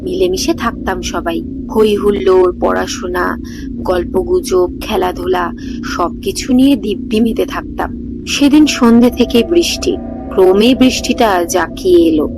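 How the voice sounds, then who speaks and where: A woman's voice: on the low side, unhurried, creaky, poor audio. Woman reading a ghost story over music. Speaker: storyteller and channel host. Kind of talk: scripted narration of a ghost story. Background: background music.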